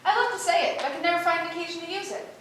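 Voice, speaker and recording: A woman's voice: very high voice, very fast, a bit bright. A woman playing a vain, ditzy young actress with bubbly, emphatic chatter in a stage play rehearsal. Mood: emphatic, opinionated. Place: echoey indoor rehearsal room. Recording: very echoey room, distant mic, faint noise.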